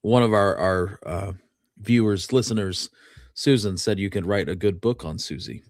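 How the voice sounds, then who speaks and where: Low-voiced man in casual co-host chat. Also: normal speed. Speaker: podcast co-host reading listener comments. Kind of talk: casual chat, brief reactions.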